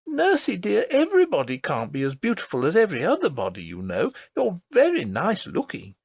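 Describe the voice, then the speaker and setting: An earnest little princess girl, as a man reading a storybook puts her on, her voice pitched well up and bending widely as she pleads and insists. The reading is close and dry, with a gravelly edge showing through. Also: wildly swooping pitch, fairly quick, dry recording, full character voice.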